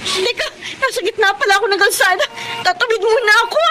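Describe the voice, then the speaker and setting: A woman's voice: very high voice, fast, noisy background. A woman playing a self-sacrificing young breadwinner and older sister in a Tagalog radio drama. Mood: flustered, distressed. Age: young adult.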